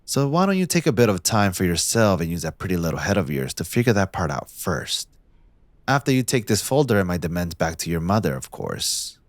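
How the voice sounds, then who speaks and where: A man playing a possessive, smug young mafia heir in a scripted audio roleplay, speaking in a theatrical, condescending, deliberate villain's drawl. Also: sits low, very animated, dry recording. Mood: smooth, condescending.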